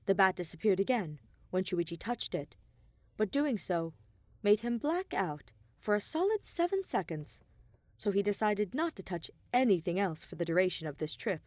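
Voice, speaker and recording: A woman reading scripted fan fiction aloud as an expressive story narrator. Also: mid-range voice, no echo, studio quality. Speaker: fan fiction podcast reader.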